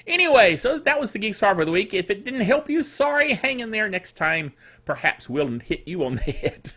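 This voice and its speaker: Animated, high-pitched man hosting tech talk. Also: normal speed. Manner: jokey and exclamatory, with playful asides. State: sore, strained throat. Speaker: host of a tech news podcast. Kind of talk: casual solo tech commentary mixed with read-out news.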